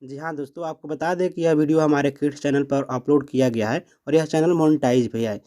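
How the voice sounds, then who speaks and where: A man's voice: fairly high pitch, steady tone, brisk, mellow. A man hosting a step-by-step app tutorial, explaining instructively to viewers. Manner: instructional, addressing viewers as friends. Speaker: tutorial host.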